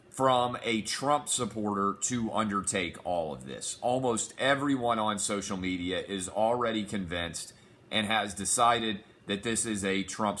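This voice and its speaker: A forceful male sports talk host with a slightly low, sharp-edged voice. He is sick and a little hoarse but pushes through a confident, emphatic solo monologue, moving easily from ad reads to opinion. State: sick, losing his voice.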